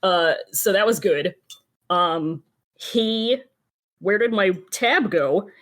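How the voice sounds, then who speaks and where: A woman in her thirties chats casually as a podcast co-host. She has a mid-pitched voice and a wry, sweary manner, often stalling as she hunts for the next word. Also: slow, a bit bright. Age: 30s. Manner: sweary, wry, hesitant searching delivery. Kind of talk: casual chat about anime and voice actors.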